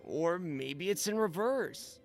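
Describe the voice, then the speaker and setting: An actor playing a goofy, laid-back young video store clerk who talks in casual slang in a comic audio drama. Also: male voice, sits high, expressive, takes it slowly, faint music behind, full character voice. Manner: casual slangy, dude-and-bro talk. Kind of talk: comedic audio drama acting.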